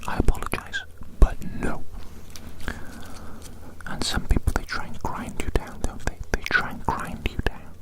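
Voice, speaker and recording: A person whispering softly in an ASMR roleplay, gently reassuring and slowly repeating phrases. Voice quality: soft unvoiced whisper. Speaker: ASMR roleplayer measuring the listener.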